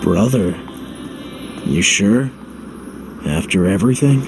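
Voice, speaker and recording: An actor playing a blunt, stoic but loyal young hero in a video game, speaking in terse, understated phrases. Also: male voice, fairly low pitch, lively intonation, takes it slowly, gravelly, music in the background, heavily acted. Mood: dry, guarded. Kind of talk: dramatic video game voice acting.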